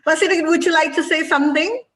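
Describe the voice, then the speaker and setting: A woman's voice: very high voice, fast talker, a bit bright. A woman from Indonesia hosting an online club meeting over a video call, cheerful and encouraging. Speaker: meeting host, Toastmaster of the day.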